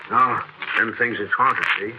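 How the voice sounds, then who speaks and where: A man's voice: on the low side, unhurried, close to an everyday voice, poor audio. An actor playing an uneasy, superstitious barman with folksy, ungrammatical American talk, in 1940s radio drama style. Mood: spooked, confiding. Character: uneasy, superstitious old-time barman who believes in ghosts. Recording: old radio recording with music behind.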